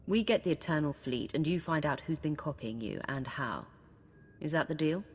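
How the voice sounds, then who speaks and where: A woman playing a steady, commanding leader giving orders in a game cutscene. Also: low voice, moderate pace, slightly rough, long reverb tail, faint music behind, exaggerated voice.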